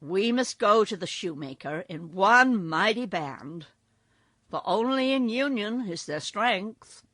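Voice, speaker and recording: A woman voicing a wise, commanding old male wizard in a read-aloud storybook, measured and emphatic. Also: mid-range voice, animated, a bit bright, dry recording, voice pushed up. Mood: firm.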